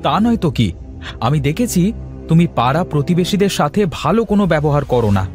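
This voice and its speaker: A man's voice: fairly high pitch, sing-song, a little fast, a little mellow, soft background music. A man voicing an exasperated, generous young village husband in a fairy-tale cartoon, scolding and pleading. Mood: reproachful.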